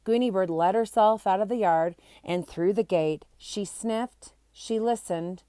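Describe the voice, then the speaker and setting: A woman reads a children's chapter book aloud at a medium pitch. Her delivery is expressive and animated, made for young listeners, and the sound is dry with almost no room echo. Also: unhurried. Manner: animated, acts out character voices. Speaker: storybook reader.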